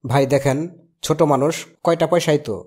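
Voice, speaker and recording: Cartoon uncle played by a man: exasperated, reluctant, rapid talker, Bangladeshi accent. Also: on the high side, a little mellow, lightly acted. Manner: grumbling, reasoning.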